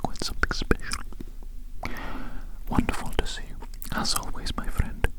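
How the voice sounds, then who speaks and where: An ASMR roleplay host speaking in a breathy, unvoiced whisper very close to the microphone, lingering over words and repeating phrases soothingly. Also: normal speed.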